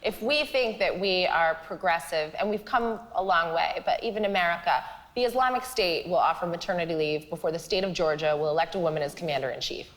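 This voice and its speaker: A woman's voice: fairly low pitch, fairly quick, fairly bright tone. Emphatic woman ranting on a comedy panel. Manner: emphatic, building rhetorical rants. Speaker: panel guest. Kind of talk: impassioned comedic argument. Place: TV studio with audience.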